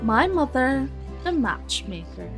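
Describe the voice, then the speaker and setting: A grown daughter in an audio play, with a fairly high voice. She is devoted to her mother but teasing and dryly reluctant, and faint music is present. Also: big pitch swings, slow pace, full character voice. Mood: wry, exasperated. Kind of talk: audio drama acting.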